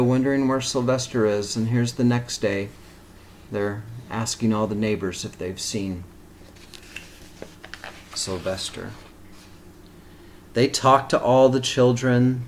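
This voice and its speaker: A man reading a picture book aloud to young children as a teacher, pausing for simple explanatory asides. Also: mid-range voice, slight background noise.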